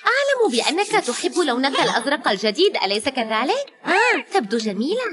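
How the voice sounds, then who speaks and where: A woman's voice: sits high, sing-song, quick pace, fairly bright tone. A woman narrating a children's learning cartoon, exclaiming and asking questions as she talks to the character and young viewers.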